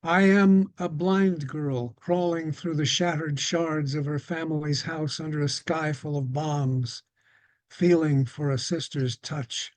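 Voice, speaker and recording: A man reciting a spoken-word poem in a steady, declamatory way. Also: on the high side, slow pace, no echo.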